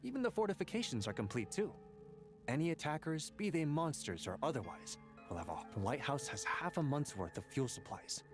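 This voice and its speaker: A man's voice: on the high side, very animated, brisk. A man voicing an earnest, polite, dutiful young guard in a video game. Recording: game audio with clear music.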